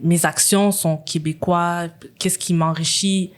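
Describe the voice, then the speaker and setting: A woman's voice: on the low side, slow pace. A young woman from Quebec with a Quebec French accent, hosting a casual conversation and talking in long, rambling stretches full of fillers. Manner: animated, rambling with frequent fillers. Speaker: host.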